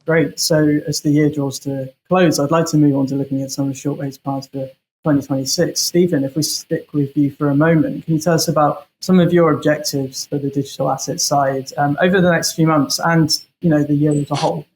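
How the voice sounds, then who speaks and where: Guy doing interviews, kinda higher voice, pretty flat delivery, asking prepared questions. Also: a little fast, rough recording.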